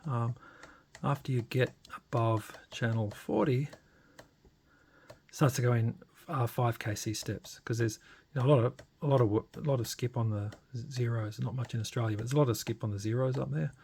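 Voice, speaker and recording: A man narrating a casual, unscripted hands-on demo, informal and halting as he thinks aloud. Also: medium pitch, gravelly, darkish tone, a little hiss or hum. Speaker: hobbyist radio modifier presenting a demo.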